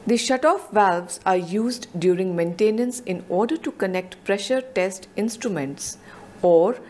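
A woman reads a scripted technical narration with a measured, explanatory delivery. Her voice sits at a middle pitch. It is a clean studio voice-over read. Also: a little mellow.

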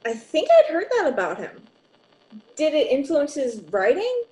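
A woman acting in a radio drama as a calm, collegial academic doctor co-hosting a broadcast. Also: sits high, normal speed, rough recording. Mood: measured. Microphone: close studio mic on boom arm.

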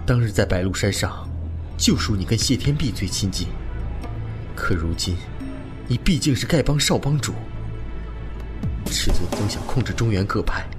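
A man's voice: fairly low pitch, animated, moderate pace, long pauses, over music, close to an everyday voice. A man playing an upright, earnest young swordsman of a noble clan in a Chinese wuxia audio drama, admonishing in manner. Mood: grave, admonishing.

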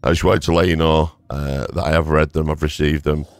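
A hoarse man giving casual, rambling gameplay commentary as a gaming YouTuber, thanking his viewers. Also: extremely low pitch, level tone, fairly quick, dry recording.

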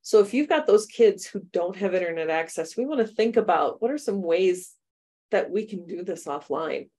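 A middle-aged woman from the United States talks teachers through a coding demo on a video call. Her voice sits a little low, and her manner is chatty and upbeat with frequent laughter. She sounds like she is in a home office on a webcam mic. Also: expressive, normal speed, mellow. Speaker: computer science teacher trainer. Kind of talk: webinar workshop demo.

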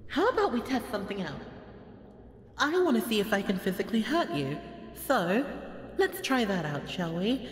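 A woman's voice: mid-range voice, very animated, normal speed, lightly acted. A woman playing a haughty, bored, playful goddess of destruction in an ASMR audio roleplay, with an imperious, mocking, theatrical delivery. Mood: exasperated, mocking. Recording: echoey hall reverb, faint background noise.

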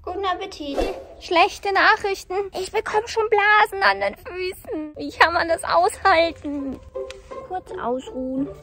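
A woman's voice: very high voice, measured pace. A young adult woman chatting casually as the host of a vlog. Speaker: video host. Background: loud music.

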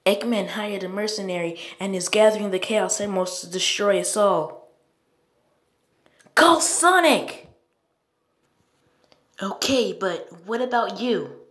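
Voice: mid-range voice, lively intonation, a little slow, long pauses, a bit bright, pitched above the performer's own voice. Woman doing an urgent, raised cartoon fox kid. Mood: concerned. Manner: urgent, exclaiming. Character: excitable young cartoon fox sidekick, earnest, alarmed. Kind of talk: cartoon voice lines. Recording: roomy phone recording.

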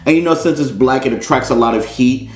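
A man's voice: medium pitch, fairly quick, noisy background. A middle-aged man with an American accent hosting a casual, comedic unboxing in a playful, theatrical way. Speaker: unboxing host. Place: small indoor studio set. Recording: roomy, echoey indoor sound.

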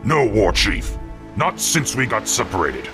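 An actor playing a loyal orc soldier in a fantasy game, reporting dutifully to his chief in a gravelly voice. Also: on the low side, very animated, unhurried, music in the background. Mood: dutiful, grim. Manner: dutiful, emphatic military reporting. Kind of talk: fantasy video game acting.

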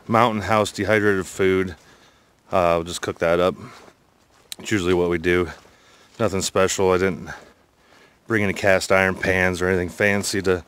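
A man's voice: low voice, a bit bright, smooth. A middle-aged man casually narrating a hike in the woods, talking in an easygoing, rambling way, recorded on a handheld phone outdoors. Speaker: hiking vlogger. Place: outdoors in a forest.

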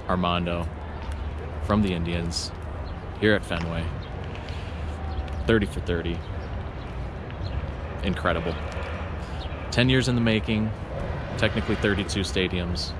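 A man in his thirties vlogging to an action camera held at arm's length, talking excitedly as he walks through an outdoor ballpark. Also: sits low, a little slow, pauses often, background noise. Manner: excited, breathless, talking to camera while walking. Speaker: baseball vlogger. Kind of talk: handheld vlog narration.

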